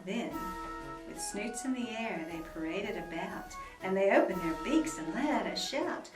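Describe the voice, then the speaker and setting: A woman reading a children's book aloud at home, dramatic and expressive with soft whispers. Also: mid-range voice, measured pace. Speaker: storyteller. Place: room at home. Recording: roomy echo, mic at a distance, faint music.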